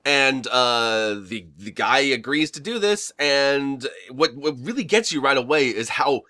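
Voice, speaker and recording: A man's voice: medium pitch, animated, unhurried, sharp tone. A man with a head cold, a little stuffed up, chats through a horror movie review. His voice rises and falls a lot as he rambles, and he keeps repeating words and laughing between them. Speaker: horror review vlog host. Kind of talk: casual movie review chat.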